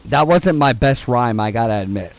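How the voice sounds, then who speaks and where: A young man with a low voice, streaming a game and chatting casually, rambling and cursing as he plays. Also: expressive, moderate pace. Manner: rambling, profane, joking, talks to chat and to the game. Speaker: gaming streamer. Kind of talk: casual gameplay commentary.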